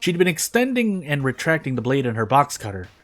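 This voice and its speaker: A man's voice: mid-range voice, very animated, brisk, fairly bright tone. A man reading prose fiction aloud in a dramatic narrating style. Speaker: fan fiction reader. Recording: music behind the voice. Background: background music.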